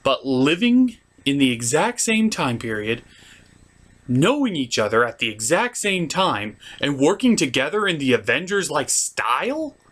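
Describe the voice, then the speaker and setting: A man's voice: on the high side, big pitch swings, measured pace, fairly bright tone. A man giving a scripted comic episode recap in a theatrical manner, as a reviewer and puppeteer. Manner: theatrical, slipping into character voices.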